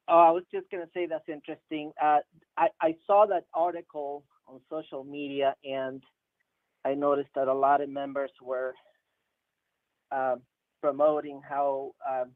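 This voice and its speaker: A man's voice: fairly high pitch, steady tone, unhurried, long pauses. A man from Chile calling in to a chat show, speaking hesitantly with many fillers, heard over a tinny phone line. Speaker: caller. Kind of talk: casual phone-in conversation.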